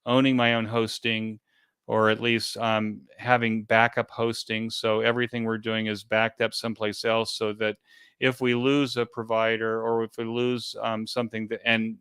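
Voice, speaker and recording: A man speaking as an interview guest in a conversational way, explaining things hesitantly with frequent pauses and repeated words. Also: lowish voice, monotone, fairly bright tone, clean tone, no room sound. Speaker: interview guest, event organizer.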